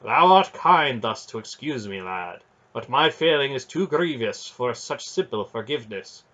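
A man's voice: medium pitch, expressive, a little slow, bright, forceful tone, dull audio. A man voicing a brooding noble knight who speaks in an archaic, earnest and declaiming way. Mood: troubled, solemn. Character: brooding noble male knight, formal and solemn.

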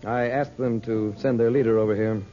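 Radio adventure hero male actor: capable uncle, mid-pitched, dull vintage recording with music behind. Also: steady tone, moderate pace, dark tone, close to an everyday voice. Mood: calm, assured. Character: steady, capable uncle leading a desert expedition. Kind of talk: 1940s radio drama acting.

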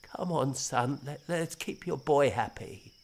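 Reader putting on a quiet old grandpa, hushed and coaxing, kinda gentle. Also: mid-range voice, measured pace. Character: forgetful old grandfather remembering the past.

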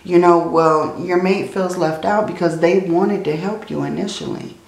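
A woman reading tarot cards in a slow, soothing way, her voice tired and strained from many readings. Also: on the low side, steady tone, vocal fry, reverberant, poor audio. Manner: slow, soothing, often adds "okay?" and "I feel like". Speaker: tarot reader. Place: indoors at a table, hands seen handling cards.

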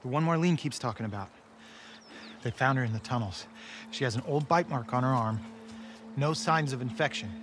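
An actor playing a brisk young American militia man, speaking in a naturalistic video game style. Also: mid-range voice, a bit bright, slight background noise, lightly acted. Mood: serious. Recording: music under the dialogue.

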